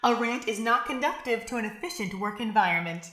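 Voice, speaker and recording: A woman's voice: fairly high pitch, quick pace, fairly bright tone, natural voice. A woman playing a prim, dutiful artificial assistant in an audio drama, speaking formally and precisely.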